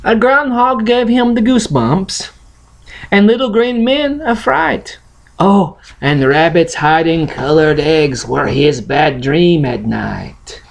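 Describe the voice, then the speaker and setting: Russian-accented man reading slowly, with swooping intonation. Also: a little high, lively intonation, gravelly. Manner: non-native grammar, halting, playful delivery. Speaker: host reading a picture book aloud. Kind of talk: storybook reading and casual chat to camera.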